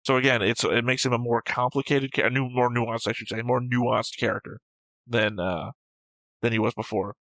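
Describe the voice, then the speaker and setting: A man's voice: mid-range voice, steady tone, normal speed, a bit bright, dry recording, poor audio. A man co-hosting an anime show in casual, opinionated discussion, argumentative and emphatic, swearing freely. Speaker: co-host of an anime reaction show.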